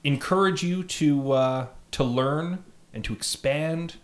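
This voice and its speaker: A man's voice: a little high, slow pace. A Canadian man co-hosting a podcast, making joking asides during sponsor thanks. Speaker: podcast co-host. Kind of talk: casual podcast chat.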